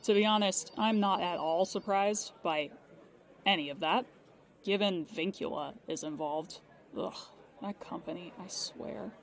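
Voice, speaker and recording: A woman's voice: lowish voice, a little slow. A woman playing a polite, dry-humored call-center agent for paranormal insurance in a comic audio drama. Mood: weary, exasperated.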